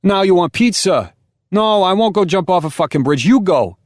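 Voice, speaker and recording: A man's voice: mid-range voice, fast talker, clear, resonant tone, dry recording, clean studio sound, pitched above the performer's own voice, close to an everyday voice. A man voicing a big, boisterous New York Italian man with a thick New York Italian accent and a brash, swearing way of talking. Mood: yelling. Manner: loud, brash, swears freely.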